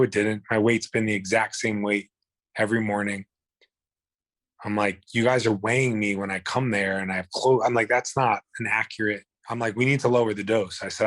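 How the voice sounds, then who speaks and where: A man's voice: on the low side, fairly bright tone, no echo. A man, telling his own illness story in a blunt, profane, rambling way. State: hoarse. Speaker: guest. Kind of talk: candid personal storytelling.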